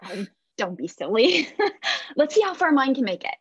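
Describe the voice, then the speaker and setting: A woman playing an eager, awkward, apologetic new mother, gushing and halting, in a comedic play reading with a husky voice. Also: high voice, big pitch swings, a bit bright, dry recording, full character voice. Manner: nervous, gushing, halting self-corrections. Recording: muffled video call audio.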